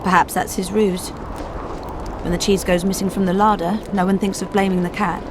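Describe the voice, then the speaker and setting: An actress playing a shrewd, drily skeptical political adviser in a video game, measured and knowing. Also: female voice, mid-range voice, little pitch movement, noisy background, lightly acted. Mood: wry. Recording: game music behind the voice.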